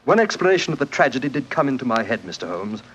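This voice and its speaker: Fast-talking, proud, blunt rich man. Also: medium pitch, very animated, fairly bright tone, slight background noise. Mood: grave, explanatory. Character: proud, blunt, touchy wealthy husband under questioning. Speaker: actor in a radio mystery. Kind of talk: 1940s radio acting. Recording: muffled 1940s radio recording.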